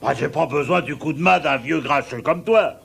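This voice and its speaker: A man's voice: fairly high pitch, moderate pace, gravelly, a bit bright, slightly rough, heavily acted. An actor playing a lazy, sleepy male dog in a French cartoon dub, grumbling in a whiny drawl. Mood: sulky. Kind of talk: French-dubbed cartoon acting.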